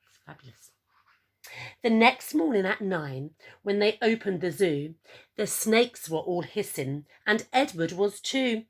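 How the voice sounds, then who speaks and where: A woman reading a picture book aloud to children, theatrical and expressive, with encouraging asides and questions to young listeners. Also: medium pitch, unhurried.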